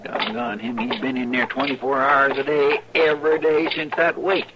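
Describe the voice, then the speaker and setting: A man plays a grumbling, folksy sidekick in a radio western. His mid-pitched voice comes through a muffled recording with loud noise. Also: flat delivery, normal speed, natural voice. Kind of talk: old-time radio western acting.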